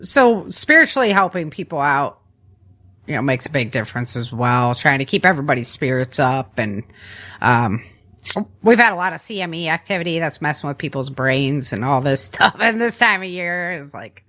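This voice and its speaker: A woman's voice: deep voice, big pitch swings, moderate pace, a bit bright. A woman hosting a podcast in casual, chatty conversation from a family room at home, using informal, slangy language. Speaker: podcast host.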